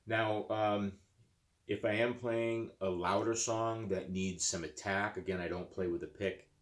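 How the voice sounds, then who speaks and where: A man's voice: fairly low pitch, fairly even intonation, unhurried. A man in his fifties, seated on a living-room couch, talks casually about his guitar while demonstrating it, rambling with fillers and restarts. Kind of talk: casual demo talk. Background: acoustic guitar playing.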